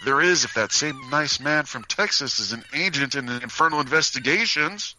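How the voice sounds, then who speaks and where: A man voicing a stern, angry leader of a Western outlaw posse in an audio story, with a forceful, scolding manner. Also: mid-range voice, expressive, moderate pace. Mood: stern, frustrated. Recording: noisy background hiss.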